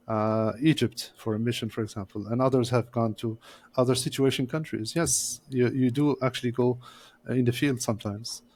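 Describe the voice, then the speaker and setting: A man's voice: medium pitch, a little mellow. Male guest, mid-range voice, patient and explanatory, hesitant fillers. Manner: measured, explaining step by step with frequent "uh" pauses. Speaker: court interpreter, guest. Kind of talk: explanatory interview answers.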